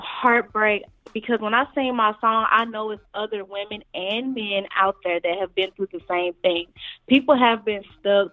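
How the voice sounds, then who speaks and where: A woman's voice: mid-range voice, phone-quality sound. A woman, a singer talking casually about her music in an interview and often laughing. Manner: chatty, laughs often, addresses listeners as y'all. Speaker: guest singer.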